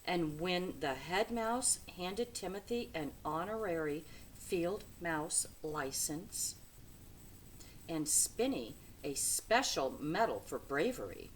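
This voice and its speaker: A woman's voice: low-pitched, a little hiss or hum, low-quality recording. A woman reading a children's storybook aloud with dramatic, emphatic phrasing. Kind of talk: expressive children's storybook narration.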